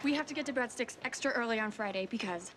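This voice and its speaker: High-voiced earnest teenage American girl played by a woman. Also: quick pace, noisy background, lightly acted. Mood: eager. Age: young adult. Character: earnest, principled teenage high school girl, sweet but firm. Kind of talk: naturalistic TV drama dialogue. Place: TV drama set, indoors.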